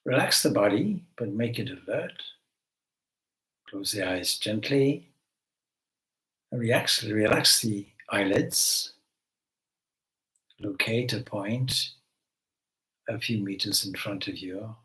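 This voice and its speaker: A man leading a guided meditation as a spiritual teacher, giving gentle instructions with long pauses between phrases. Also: fairly low pitch, low-quality recording.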